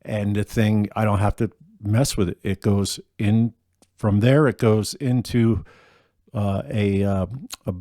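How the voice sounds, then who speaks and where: Man, deeper voice, mellow, dry close sound, casual and rambling. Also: takes it slowly, no room sound. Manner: relaxed, rambling with many ums and restarts. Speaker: gigging acoustic musician. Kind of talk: casual gear rundown.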